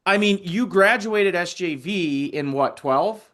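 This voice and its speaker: A man's voice: high voice, takes it slowly, fairly bright tone. An American man hosting a podcast, speaking in an informal, enthusiastic way. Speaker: podcast host. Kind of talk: casual interview chat.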